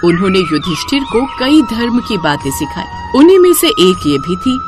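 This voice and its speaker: A woman narrates a scripted devotional tale. Her intonation swings in a lively, expressive way, and music plays clearly behind her. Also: mid-range voice, normal speed.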